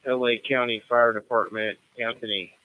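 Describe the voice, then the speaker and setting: A man's voice: medium pitch, little pitch movement, normal speed, phone-quality sound. An American man acting as net control, running a radio roll call in a formal, procedural manner.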